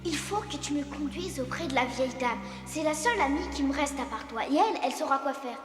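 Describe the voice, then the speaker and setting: A woman's voice: high-pitched, animated, fairly quick, over music. A woman voicing an earnest young male elephant king in a French-dubbed cartoon, exclamatory and trusting. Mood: worried.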